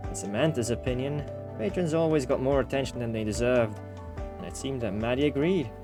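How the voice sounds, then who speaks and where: A man reading a visual novel aloud as an expressive storyteller, slipping into casual, wry asides. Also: medium pitch, brisk, over music.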